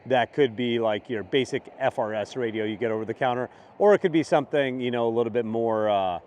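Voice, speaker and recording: A man's voice: medium pitch, fairly quick, darkish tone, a little hiss or hum. A man hosting a casual question-and-answer session, explaining things in a conversational, matter-of-fact way. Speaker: host answering community questions.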